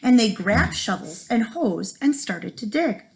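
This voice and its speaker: A woman teacher reading a children's story aloud expressively, with dramatic pauses for young listeners. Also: on the high side, very animated, vocal fry. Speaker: first grade teacher.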